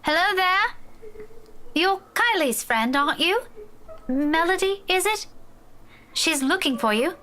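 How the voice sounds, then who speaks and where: An actress playing a friendly young schoolteacher in a game, speaking in a gentle, coaxing way. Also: female voice, very high voice, expressive, takes it slowly, pauses often, full character voice. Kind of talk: visual novel voice acting.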